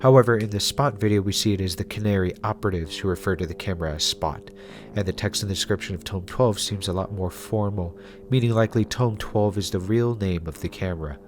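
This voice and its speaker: A man narrating a scripted video essay in a measured, conversational, explanatory way, as the host of a review series. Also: lowish voice, faint music behind. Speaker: host of a web series reviewing analog horror.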